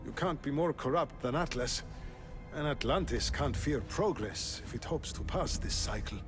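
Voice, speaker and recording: A man playing a measured, pragmatic noble in a video game, formal and deliberate. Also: medium pitch, lively intonation, over music, close to an everyday voice. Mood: dry, supportive. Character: measured, pragmatic noble brother of Atlantis's fallen ruler. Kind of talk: video game cutscene acting.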